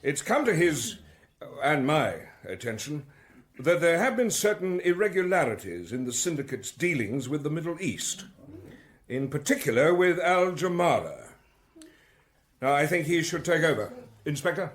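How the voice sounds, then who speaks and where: An actor playing a formal, defensive academic official with an English accent, speaking carefully and hesitantly in a British radio drama. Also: male voice, a little high, sing-song, moderate pace. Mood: formal, uneasy. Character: formal, defensive male academic secretary under suspicion.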